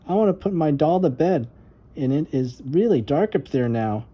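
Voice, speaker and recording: A woman voicing an eager, bossy little girl in a children's storybook, high and childlike with an emphatic singsong delivery. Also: expressive, normal speed, slightly rough, dull audio. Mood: matter-of-fact.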